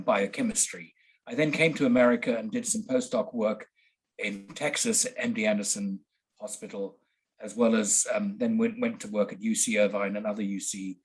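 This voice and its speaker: A man answers questions on a podcast in a husky, low voice. He talks casually, stopping often on ums and restarts. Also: low-quality recording. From Switzerland, raised in central England. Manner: hesitant, many ums. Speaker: guest, patent agent. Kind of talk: casual interview talk.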